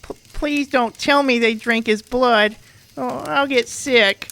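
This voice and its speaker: Casting: woman playing frightened, queasy student in audiobook fiction; raised gravelly pitch, stammering and pleading. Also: slow pace, fairly bright tone, full character voice. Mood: queasy dread. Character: squeamish, queasy, frightened student named Lucky.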